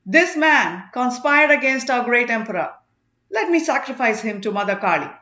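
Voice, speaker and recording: A woman voicing a treacherous male warrior in an audiobook, speaking in a loud, insistent, declamatory way. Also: a little high, animated, normal speed, roomy sound, lo-fi sound. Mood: loud, accusing. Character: treacherous armed man loudly accusing a rival before crowd.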